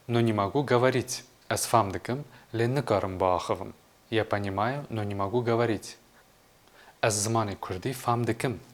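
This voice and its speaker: A man giving a language lesson, measured and clear, saying each phrase and then translating it. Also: medium pitch. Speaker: language teacher. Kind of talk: scripted video lesson.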